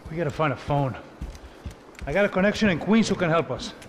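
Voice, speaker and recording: An actor playing a nervous, talkative sidekick who speaks in a quick, urgent, chatty way for a game cutscene. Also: male voice, a little high, lively intonation, a little hiss or hum, natural voice. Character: nervous, talkative sidekick and fellow bodyguard, adult man. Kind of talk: video game cutscene voice acting. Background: cinematic score.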